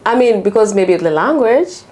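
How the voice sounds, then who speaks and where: A woman's voice: medium pitch, expressive, normal speed, a little mellow. A woman in her thirties from Tanzania, speaking as an interview guest in casual, personal storytelling, informally and repeating herself with lots of filler phrases. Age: 30s. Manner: informal, repeats herself, many "like" and "you know".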